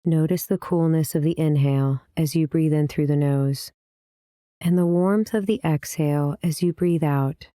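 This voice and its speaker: A woman leading a guided meditation as the teacher, speaking in a calm, measured, gently instructing manner. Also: low voice, dry recording, clean studio sound. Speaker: meditation teacher, series host.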